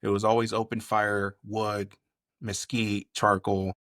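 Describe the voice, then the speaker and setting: A man's voice: lowish voice, level tone, measured pace, a bit bright, no room sound, studio quality. A man from California who works as a chef, talking casually and in detail as a podcast guest, reminiscing in a conversational way. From Bakersfield, California. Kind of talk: casual interview storytelling.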